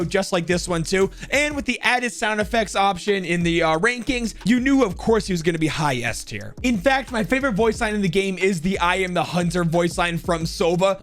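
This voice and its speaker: A young man hosting a gaming video, giving excitable, informal and enthusiastic ranking commentary. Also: high voice.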